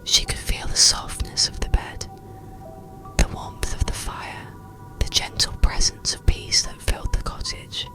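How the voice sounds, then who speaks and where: A narrator reading a soothing bedtime story in a breathy, unvoiced ASMR whisper, with gentle pauses. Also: over music. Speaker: audiobook narrator.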